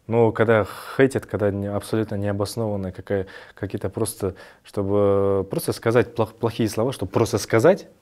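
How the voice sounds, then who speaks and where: A young man from Kazakhstan talks through his view in a low, measured voice. He reasons step by step and sometimes restarts a word. The sound is close and clean from a studio lapel mic. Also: steady tone, a little mellow. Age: young adult. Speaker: podcast guest. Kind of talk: casual studio talk.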